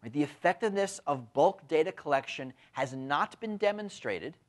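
Man, 50s, university lecturer; animated, rising and falling delivery, peppers points with tag questions; lapel mic. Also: on the high side, normal speed. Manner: frequent tag questions, lively emphatic delivery. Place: lecture room with window blinds.

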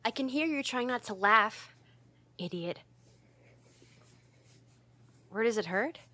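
A woman's voice: on the high side, lively intonation, normal speed, pushed and bright. A woman playing a flustered, easily embarrassed tsundere roommate in an ASMR roleplay, stammering and defensive but secretly fond. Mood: softened, awkward. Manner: stammering, defensive, huffy, hesitant.

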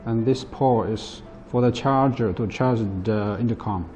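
A man in his thirties, a non-native English speaker, giving a plain, halting, step-by-step product demonstration. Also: mid-range voice, warm tone, faint music behind. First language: not English. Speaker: product presenter. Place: indoor office at a table.

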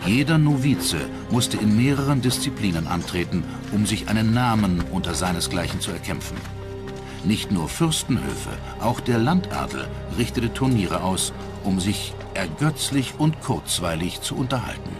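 Low-voiced male documentary narrator, lively intonation. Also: normal speed, music in the background.

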